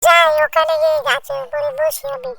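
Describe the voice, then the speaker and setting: Excitable little bird with a creaky voice, woman-voiced. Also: extremely high pitch, moderate pace, full character voice. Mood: excited, greedy. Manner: exclaiming, wonder-struck, self-talking. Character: small female tailorbird, excitable, greedy and childlike. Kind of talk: children's cartoon character voice.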